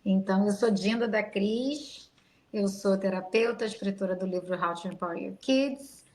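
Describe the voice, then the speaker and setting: A woman from Brazil, a therapist and author, chatting as a podcast guest in an animated, proud way. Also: mid-range voice, normal speed, low-quality recording. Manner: proud, animated and laughing easily. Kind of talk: casual podcast chat.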